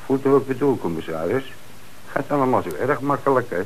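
A man's voice: medium pitch, lively intonation, quick pace, close to an everyday voice, poor audio. An actor playing a thoughtful, doubtful male police detective musing to his chief in a Dutch radio play. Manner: musing, sceptical.

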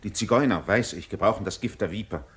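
A grave court physician in a radio play, with a fairly low, rough-edged voice. He addresses his king with deference and anxious urgency, his intonation moving widely. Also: animated, quick pace. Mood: urgent, grave. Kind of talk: theatrical German radio play acting.

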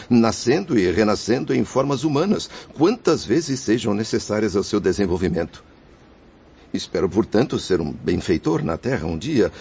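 A man's voice: fairly low pitch, a little mellow, slight background noise. An actor playing the guilt-ridden, grateful spirit of a father in a radio soap, speaking in a solemn, declamatory way. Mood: hopeful. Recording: dull lo-fi radio drama recording.

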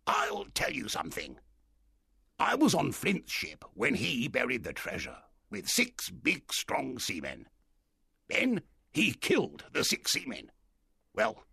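A man voicing a wild, excitable old castaway who pleads eagerly in rising exclamations, with a raspy, gravelly voice. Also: mid-range voice, no echo, studio quality, exaggerated voice.

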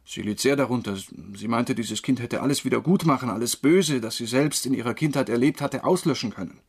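An actor playing a troubled former drinker, wrongly convicted, confessing haltingly in a crime radio drama, with a husky voice. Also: male voice, medium pitch. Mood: sombre, reflective. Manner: halting, brooding.

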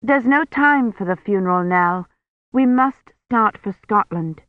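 A woman's voice: mid-range voice, animated, a little slow, no room sound, narrow, tinny audio, close to an everyday voice. Narrator playing an anxious, insistent woman, raised voice. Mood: urgent, pleading. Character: anxious, urgent young woman caring for a priest.

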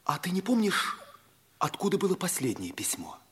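An ardent, impulsive schoolboy, speaking with earnest theatrical emphasis and very lively intonation. It is an old Soviet radio drama recording, slightly muffled in its diction. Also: male voice, high voice, moderate pace, slightly rough, full character voice. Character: ardent, impulsive schoolboy dreaming of polar flying. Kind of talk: mid-century Soviet radio play acting. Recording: 1950s radio play recording, faint music.

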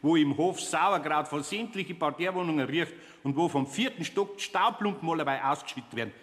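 A good-hearted older Bavarian craftsman acted by a man speaks in a slightly high voice with plenty of room echo. He mutters and stumbles along hesitantly, as in a children's radio play. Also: brisk, a bit bright, natural voice. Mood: hearty.

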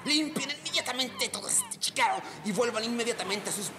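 An actor playing a haughty, exasperated pirate captain in a radio play, pompous and scolding. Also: male voice, extremely high pitch, lively intonation, fairly quick, over music. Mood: angry, commanding. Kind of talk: Spanish-language radio play acting.

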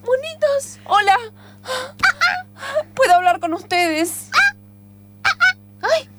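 A woman acting a naive, excitable young city traveler in improvised radio theatre, with an Argentine accent and a melodramatic, exclamatory delivery. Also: very high voice, drawn-out words, over loud music, exaggerated voice. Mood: alarmed, amazed.

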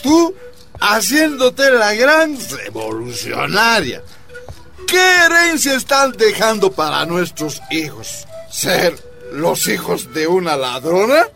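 An actor playing an angry, jealous, scornful Bolivian husband in a radio drama, confrontational and swearing. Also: male voice, sits high, very animated, takes it slowly, vocal fry, bright, forceful tone, soft background music, exaggerated voice.

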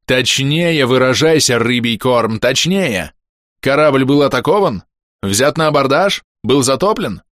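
A man voicing a gruff ship's officer who bullies his crew, barking orders in a drill-sergeant shout. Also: fairly high pitch, expressive, unhurried, no room sound, studio quality, voice pushed up. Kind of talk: audiobook character voice.

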